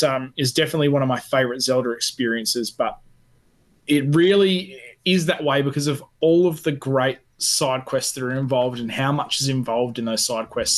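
A man co-hosting a gaming podcast, telling things in a casual, earnest, rambling and enthusiastic way. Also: mid-range voice. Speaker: podcast co-host. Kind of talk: casual gaming chat, anecdotal.

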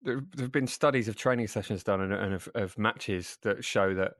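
A man's voice: lowish voice, moderate pace, a bit bright, no echo. A dry-witted man, chatting casually as a football podcast panellist.

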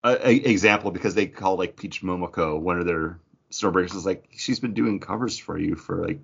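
A man with a low-pitched voice co-hosts a casual podcast discussion. He explains his thoughts conversationally, as in a group chat. The audio is slightly dull and band-limited. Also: brisk, gravelly, husky. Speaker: podcast co-host.

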